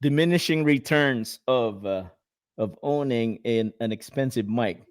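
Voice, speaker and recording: A man's voice: medium pitch, unhurried, no echo. Filipino guy cohosting a chat show, rambly with lots of uh and um, dry close sound. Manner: rambling, filler-heavy, laughs while talking. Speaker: podcast co-host. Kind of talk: casual chat.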